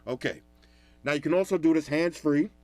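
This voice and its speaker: A loud, animated man talks through a gadget review in a relaxed, chatty way. His voice sits a bit high for a man. The sound is dry and close, with no room echo. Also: brisk. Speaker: tech reviewer. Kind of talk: casual product review.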